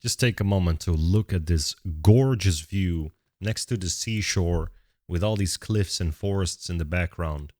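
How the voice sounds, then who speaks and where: Low-voiced young man. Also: measured pace, darkish tone. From Netherlands. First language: Dutch. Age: 20s. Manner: thinks aloud, restarts words mid-sentence. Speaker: music reaction channel host. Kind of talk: casual reaction commentary.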